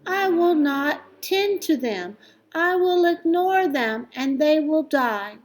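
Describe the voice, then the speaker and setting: Woman playing a stubborn old lady, raised pitch. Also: animated, takes it slowly. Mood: disgusted, defiant. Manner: emphatic, insistent, exclaiming.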